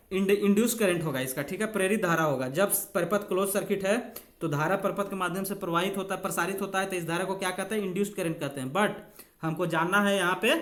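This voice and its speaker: A man's voice: high-pitched, a bit bright. A man giving an informal bilingual exam lesson, talking to students as friends while explaining questions step by step. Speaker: exam coaching teacher.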